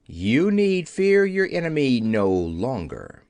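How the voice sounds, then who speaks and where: A brave lion voiced by a man reading aloud speaks like a king from a storybook. He is assured and commanding, with animated intonation and a close, dry sound. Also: medium pitch, slow, dry recording, heavily acted. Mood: commanding, proud. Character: proud, brave male lion, king of beasts.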